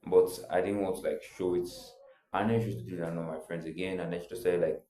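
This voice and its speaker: A young man tells his own story in a loose, rambling way, leaning on filler words as he goes. His voice sits very low and sounds mellow and soft-edged. The room around him adds a clear echo. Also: steady tone, fast talker, a little hiss or hum. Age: young adult. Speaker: vlogger. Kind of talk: casual personal storytelling.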